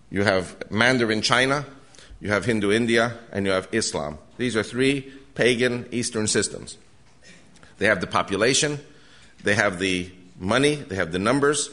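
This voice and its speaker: Earnest older man lecturing, low voice. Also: fairly bright tone. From United States. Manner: earnest, urgent warning tone with frequent restarts. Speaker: guest lecturer. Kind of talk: lecture to a live audience. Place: hall with a live audience.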